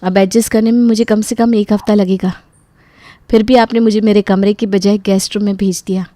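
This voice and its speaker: A young married daughter from a cartoon family story, acted out by a woman. She is polite but easily hurt, with a complaining edge. The voice sits at a medium pitch in a dry recording. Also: steady tone, fairly quick, warm tone, dry recording, close to an everyday voice. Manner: wounded, complaining.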